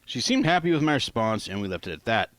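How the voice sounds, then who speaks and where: A man's voice: medium pitch, sing-song, fast talker, a bit bright, no room sound. A man reading his own first-person novel aloud in a plain, informal amateur narration style.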